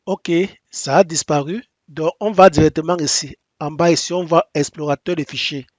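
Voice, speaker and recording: A man whose first language is English, walking through a software installation step by step in halting French with frequent restarts and repeated instructions. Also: a little high, fairly even intonation, no room sound. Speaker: software tutorial presenter. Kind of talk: screen-recorded software tutorial.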